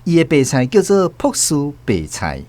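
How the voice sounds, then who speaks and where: A man from Taiwan, host and narrator of a radio drama, reading the story in a measured, expressive way. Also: a little high, no room sound.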